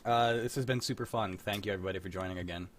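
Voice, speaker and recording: A man's voice: lowish voice, rapid-fire, slight background noise. A man hosting a live stream of old radio script readings, chatting casually and joking, swearing now and then. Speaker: host of an old-time radio table-read stream. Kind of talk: casual chat.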